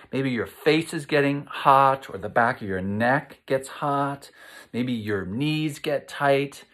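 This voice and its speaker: A middle-aged American man reading aloud and gently guiding children, explaining things and putting questions to his listeners. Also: on the low side. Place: small living room, indoors.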